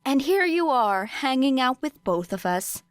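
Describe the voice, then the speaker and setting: A woman's voice: high-pitched, sing-song, measured pace, clean tone, no room sound, studio quality, voice pushed up. A woman playing a tough, capable young girl in an audiobook, blunt, wry and matter-of-fact. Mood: teasing.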